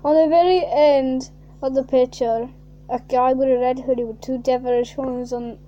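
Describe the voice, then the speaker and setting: A woman reading a fan fiction aloud in a mocking way, reading flatly and then bursting into shrieking, laughing asides. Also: high voice, normal speed, highs cut off, a little hiss or hum.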